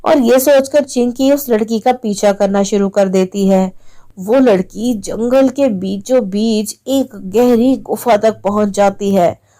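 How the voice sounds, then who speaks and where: A woman's voice: mid-range voice, slow, dark tone. A woman narrator reading a scripted story for children.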